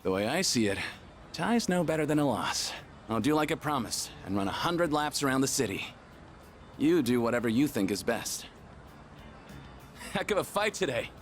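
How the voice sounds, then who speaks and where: An actor voicing a brash, competitive young athlete in a video game, cocky and blunt. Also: male voice, mid-range voice, sing-song, normal speed, pauses often, faint music behind. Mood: respectful.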